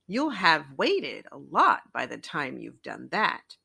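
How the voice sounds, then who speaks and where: A woman voicing a female animal friend in a children's story, a bossy but caring breakfast host, brisk and chiding. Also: sits low, huge pitch swings, vocal fry, pushed and bright, husky, voice pushed down, full character voice.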